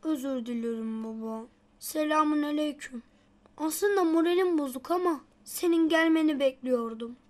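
A very high, childlike voice of a little schoolboy played by a woman in a children's cartoon. He sounds earnest and anxious, like a sincere small kid. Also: normal speed, a little mellow, lightly acted. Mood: earnest, downcast.

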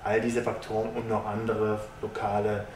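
A man in his thirties, a sponsorship executive, giving measured businesslike interview answers with frequent hesitations, in a stadium lounge. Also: fairly low pitch, little pitch movement, darkish tone. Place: stadium lounge with glass walls, indoors. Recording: roomy, distant mic, faint hiss.

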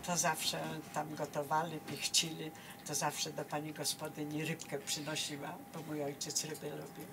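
Low-voiced older woman, noisy recording. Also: flat delivery, normal speed. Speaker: local resident sharing memories. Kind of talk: casual reminiscing. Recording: noisy background with ambient sound.

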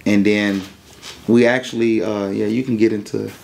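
Young guy, kinda low voice, just chatting through his story real matter-of-fact. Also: little pitch movement, normal speed, gravelly, a little mellow. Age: young adult. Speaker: interview guest telling a couple's story. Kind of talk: casual chat, storytelling.

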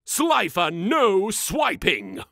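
A man's voice: high voice, wildly swooping pitch, drawn-out words, creaky, background noise, exaggerated voice. A man voicing an arrogant, theatrical young anime duelist in a comedy parody, with haughty, over-enunciated delivery. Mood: bombastic, dramatic. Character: arrogant, pompous young male anime duelist in a recording booth. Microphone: close studio microphone.

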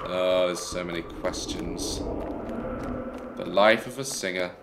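A man's voice: low voice, takes it slowly, background noise. A man in his thirties commenting jokily on a horror game, yelping at scares, on a headset mic. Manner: jokey, yelps and shouts at scares. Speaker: gaming youtuber.

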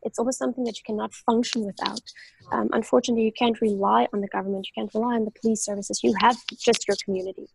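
A woman's voice: fairly high pitch, steady tone, quick pace, creaky, a little mellow, a little hiss or hum, low-quality recording. A woman in her thirties from South Africa, a native Afrikaans speaker with a South African accent, talking thoughtfully on a video call through an earphone mic with many fillers and restarts. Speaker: guest, medical doctor. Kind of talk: casual interview talk. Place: home room on a video call. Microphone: earphone headset mic on a video call.

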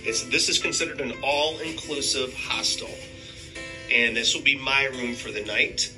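A man's voice: medium pitch, steady tone, moderate pace, recorded from a distance. A man in his fifties talks like an enthusiastic amateur vlogger giving a review. His delivery is informal and conversational. He sounds a little way from the mic in an echoey room, with music playing behind him. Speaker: hiker and video reviewer. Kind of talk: casual vlog review. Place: indoors in living rooms of a house.

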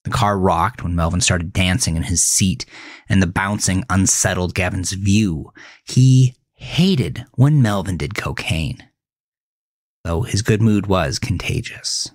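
Deep, slow male horror storyteller. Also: dry recording, clean studio sound. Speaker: narrator. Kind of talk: scripted horror-thriller story narration.

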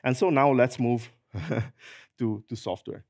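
A middle-aged man with an Italian accent giving a lively technical talk in a large conference hall, speaking into a handheld microphone and filling pauses with frequent "uh"s. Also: mid-range voice, gravelly, clean studio sound. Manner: lively, fillers like "uh", jokes and laughs.

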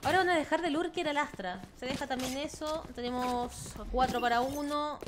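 High-pitched Chilean woman casting esports. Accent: Chilean Spanish with some Argentine touches. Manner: excitable, quick bursts of exclamations. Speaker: esports caster. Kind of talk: live game commentary. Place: home room, indoors. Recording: clear background noise from game sounds.

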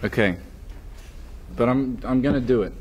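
A man's voice: medium pitch, normal speed, a little mellow, a little hiss or hum, lightly acted. A young man reading the part of an easygoing, hesitant teenage boyfriend at a script table read. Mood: casual. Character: mellow laid-back teen boyfriend. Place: conference room at a long table.

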